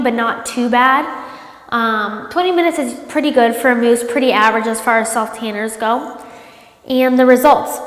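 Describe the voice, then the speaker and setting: A woman reviewing a product in a casual vlog, sounding congested from a cold and chatting with lots of ums. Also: fairly high pitch. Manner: chatty, many ums, upbeat. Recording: echoey room with long reverb.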